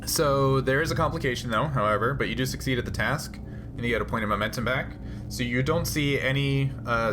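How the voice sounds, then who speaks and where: Man game master over background music. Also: medium pitch. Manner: conversational, explanatory. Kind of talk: running a tabletop role-playing game, explaining rules and narrating.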